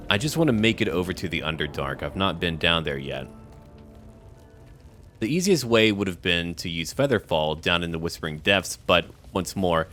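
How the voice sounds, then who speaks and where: Deep-voiced man chatting through a game. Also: fairly bright tone. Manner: thinks aloud, addresses viewers. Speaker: video game streamer. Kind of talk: casual game commentary.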